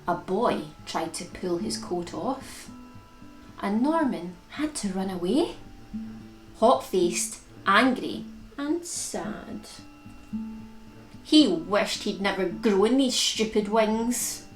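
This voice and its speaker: A woman reads a picture book to young children at an unhurried pace, her pitch swooping expressively as she leans on key words. The room adds some echo, and guitar music plays along with her storytelling. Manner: animated, dramatic emphasis on key words. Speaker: children's storytime reader and host.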